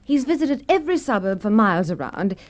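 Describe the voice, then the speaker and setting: A woman's voice: mid-range voice, big pitch swings, brisk, lightly acted. An actress playing a self-assured, theatrical female burglary victim in a radio drama, telling her story emphatically. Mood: dramatic, fearful. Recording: dull lo-fi vintage radio recording.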